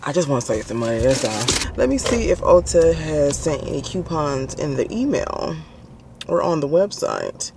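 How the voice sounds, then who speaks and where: A man with a Southern Alabama accent vlogging casually from his car, animated and laughing often as he talks to a handheld camera. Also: a little high, normal speed, gravelly. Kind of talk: casual driving vlog. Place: in a car, driving. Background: faint car and road noise.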